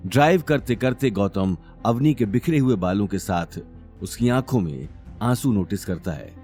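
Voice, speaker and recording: A man's voice: fairly low pitch, animated, moderate pace, a little mellow, faint music behind. A man narrating a scripted audio drama serial in a dramatic storytelling style.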